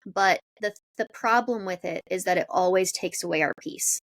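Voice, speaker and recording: A woman's voice: on the low side, normal speed, dry recording. A woman co-hosting a podcast, giving conversational advice in an encouraging, explanatory way. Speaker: podcast co-host.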